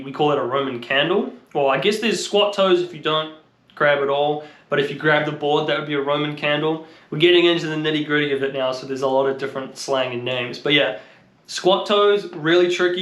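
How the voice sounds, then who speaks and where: A man's voice: fairly high pitch, a bit bright. A man giving a casual, unscripted explainer as an instructor, thinking aloud in an informal, slightly hesitant way. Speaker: longboarding instructor and video host. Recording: roomy, echoing sound.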